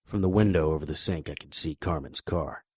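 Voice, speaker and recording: An actor playing a deadpan, hardboiled private investigator, narrating and speaking in a noir audio drama. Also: male voice, rumbling low, a little fast, slightly rough, dry recording, studio quality. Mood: deadpan, tense. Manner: deadpan, wisecracking.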